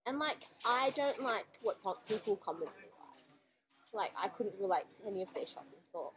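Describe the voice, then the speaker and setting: A young woman from New Zealand with a Kiwi-Australian accent, co-hosting a podcast in a relaxed, casual way in a cafe. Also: medium pitch, normal speed, music in the background, rough recording. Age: young adult. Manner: relaxed, agreeable, "like" fillers. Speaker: podcast co-host. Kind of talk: casual chat. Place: busy cafe.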